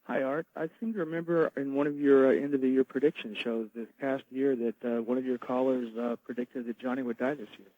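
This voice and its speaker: A man's voice: medium pitch, fairly even intonation, brisk, few pauses, no room sound. A man calling in to a radio show by telephone, chatting casually and a little tentatively. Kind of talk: casual call-in chat. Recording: dull telephone call on radio.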